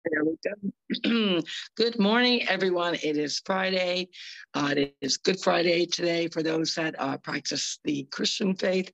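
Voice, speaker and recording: A woman co-hosting a live talk show over a video call, hoarse and scratchy, chatting in an upbeat, encouraging way. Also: on the low side. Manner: upbeat, encouraging, rambling asides. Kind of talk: casual motivational chat.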